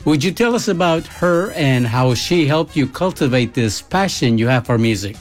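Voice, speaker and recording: A man narrating a scripted introduction and asking interview questions in a smooth, polished way. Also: medium pitch, animated, normal speed, soft background music. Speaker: host.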